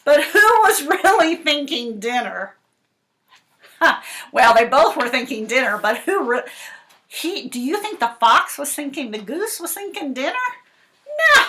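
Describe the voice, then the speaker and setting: High, animated woman teaching young children. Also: a little slow, slight background noise. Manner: expressive, asks the listeners questions and answers them. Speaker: school librarian reading to children. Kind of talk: animated picture-book read-aloud and discussion for children.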